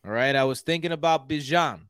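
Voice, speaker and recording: A man's voice: a little high, fairly quick, fairly bright tone, clear, resonant tone, dry recording, clean studio sound. A man from Houston co-hosting a casual sports podcast. He talks in a rambling way and repeats words while he thinks. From Houston, Texas. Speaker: podcast co-host.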